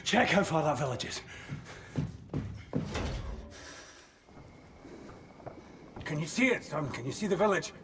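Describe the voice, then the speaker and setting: Commanding older tank officer played by an actor. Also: male voice, on the high side, lively intonation, quick pace, noisy background, close to an everyday voice. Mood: urgent questioning. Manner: commanding, terse. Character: gruff, steady older male tank commander. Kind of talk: dramatic video game acting.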